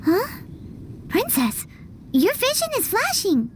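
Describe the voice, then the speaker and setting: A woman voicing a tiny, excitable female fairy companion who talks about herself in the third person. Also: very high voice, slow pace, slight background noise, exaggerated voice. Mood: indignant. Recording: game soundtrack music behind.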